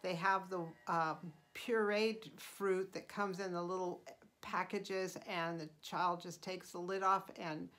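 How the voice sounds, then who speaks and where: A middle-aged woman hosting her own channel, talking through casual tips in an unhurried, chatty way with frequent ums and uhs. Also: fairly low pitch, steady tone, fairly bright tone. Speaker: channel host. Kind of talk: casual vlog voice-over.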